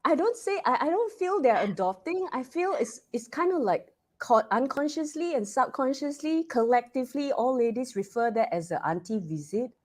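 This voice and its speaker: An energetic Malaysian woman hosting an online talk show. Her pitch swings widely as she speaks, which makes her sound animated, and she keeps the talk moving toward her audience. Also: normal speed, few pauses. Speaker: host.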